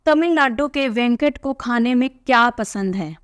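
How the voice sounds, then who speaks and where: A woman's voice: fairly high pitch, lively intonation, unhurried, smooth, no room sound, studio quality. A woman teacher reading aloud from a textbook and patiently explaining each answer to young pupils.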